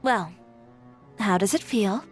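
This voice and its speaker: A woman's voice: medium pitch, takes it slowly, faint music behind, lightly acted. A woman voicing a proud, assertive young woman tailor in a video game, speaking frankly about her past. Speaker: fashion designer.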